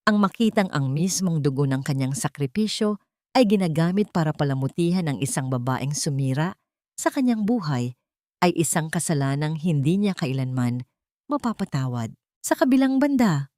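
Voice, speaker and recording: Woman, Filipino accent, dramatic scripted storytelling, lively swooping intonation, lowish pitch, dry sound. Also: dry recording. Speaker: audiobook narrator.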